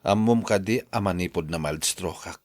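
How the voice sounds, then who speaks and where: A deep-voiced Ilocano office worker on the mend from a mild stroke, played by a male actor. He is stubborn and grumbling, in radio drama style, recorded close and dry. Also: normal speed, no room sound, lightly acted.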